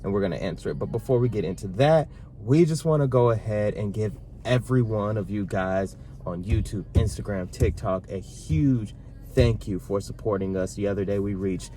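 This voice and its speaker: A man in his thirties co-hosting a casual sit-down Q&A with his partner, talking in a relaxed, rambling way. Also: lowish voice, moderate pace. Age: 30s. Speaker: vlog co-host.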